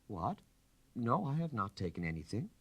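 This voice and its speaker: A man's voice: medium pitch, big pitch swings, normal speed, slightly rough, voice pushed up. A man voicing a poised, candid aristocratic countess in a storybook reading, with a refined and emphatic delivery. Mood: surprised, defensive.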